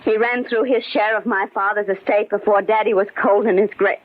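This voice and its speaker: A woman's voice: mid-range voice, fairly even intonation, slight background noise, close to an everyday voice. An actress playing an anxious, embittered, determined woman fighting for her sanity, in melodramatic 1940s radio style. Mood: bitter, dismissive. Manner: intense, melodramatic, halting when cornered. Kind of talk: 1940s radio acting, dramatic first-person narration. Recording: tinny 1940s radio broadcast.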